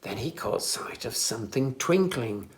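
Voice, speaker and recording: A children's storyteller reading a scripted story aloud for young listeners, with expressive, careful phrasing. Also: mid-range voice, a little slow.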